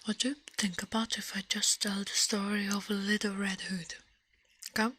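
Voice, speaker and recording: A woman whispering as an ASMR livestream host, in a breathy murmur with playful alien roleplay and repeated syllables. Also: mid-range voice, level tone, normal speed, a bit bright.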